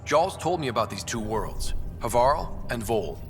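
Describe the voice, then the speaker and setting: An actor playing a young, earnest expedition leader in a sci-fi video game, talking in a casual way. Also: male voice, mid-range voice, sing-song, a little slow, soft background music. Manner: casual, conversational. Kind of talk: naturalistic game dialogue.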